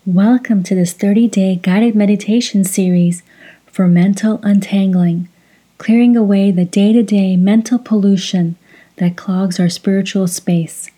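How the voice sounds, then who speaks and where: A woman guides a meditation at a slow pace. Her voice is very dark and mellow, soft and breathy. She gives measured instructions and leaves long pauses between phrases. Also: mid-range voice.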